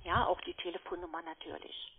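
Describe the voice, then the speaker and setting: A woman's voice: lowish voice, level tone, brisk, crowd noise. A woman working as a call centre agent, speaking politely but hesitantly and evasively in an unscripted phone call. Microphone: telephone. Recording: narrow-band phone line.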